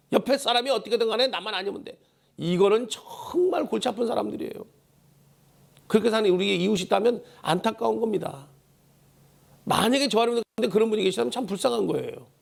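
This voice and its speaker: A male pastor delivers a church sermon in a high, worn voice. It is hoarse and heavily creaky. He exhorts emphatically, driving key phrases home by repeating them. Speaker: pastor preaching a Sunday sermon. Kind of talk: Korean church sermon with call-and-response prompts. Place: church service.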